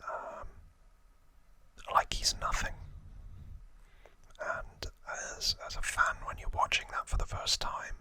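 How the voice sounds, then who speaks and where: A man whispering as an ASMR host, telling trivia in a hushed, halting storytelling manner. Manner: hushed, slow, halting delivery.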